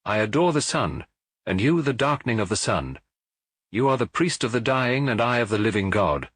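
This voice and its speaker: A man's voice: mid-range voice, animated, normal speed, a bit bright, breathy edge, no room sound, pitched above the performer's own voice. A man voicing a proud, scornful sun-cult prophet in a lofty, oratorical manner for an audiobook story. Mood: scornful, exalted.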